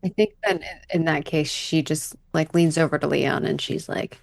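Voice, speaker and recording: A woman's voice: deep voice, normal speed, gravelly, no room sound, clean studio sound. A woman playing a tabletop game, casually chatting and narrating what her character does.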